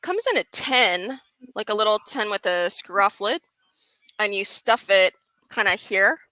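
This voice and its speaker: A woman's voice: medium pitch, measured pace, bright, forceful tone, no room sound, poor audio. A woman chatting casually as a guest on a live stream panel, explaining things in a blunt way.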